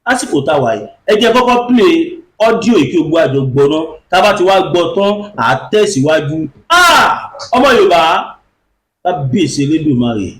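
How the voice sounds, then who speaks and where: A male host with a fairly high, very lively voice, talking in an animated, exclamatory way and switching between Yoruba and English. The room around him is noticeably echoey. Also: fast. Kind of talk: online commentary show.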